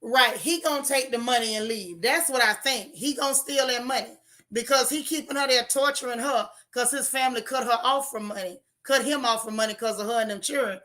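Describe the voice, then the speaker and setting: A hoarse woman hosts a casual livestream, her voice pitched a little high and bright. She talks straight to her chat, animated and opinionated. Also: a little fast. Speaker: channel host reviewing a TV show.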